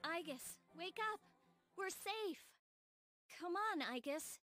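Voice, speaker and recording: High-voiced anime teen girl. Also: expressive, slow, sharp tone, heavily acted. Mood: excited, urgent. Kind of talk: anime game dub acting. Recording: game music under the voice.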